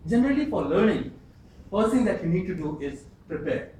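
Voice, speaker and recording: A man's voice: high voice, big pitch swings, darkish tone. Man speaking in an echoey hall. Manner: earnest, emphatic, pausing often. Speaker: club speaker. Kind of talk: prepared speech. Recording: echoey room, distant mic, faint hiss.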